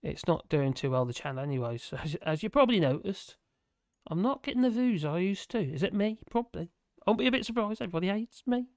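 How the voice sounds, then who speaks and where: A hoarse live streamer, rambling in casual chat to viewers and making fun of themselves. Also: medium pitch, animated, fast talker, darkish tone, no echo, studio quality. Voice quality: rambling, self-deprecating.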